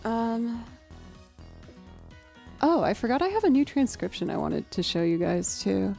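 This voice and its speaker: A young woman chats loosely on her livestream, musing out loud with plenty of ums and likes. Her naturally low voice has a soft, warm tone. Faint music sits underneath. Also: sing-song, unhurried, vocal fry. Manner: chatty, thinking aloud, with fillers. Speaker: streamer and musician. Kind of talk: casual livestream chat.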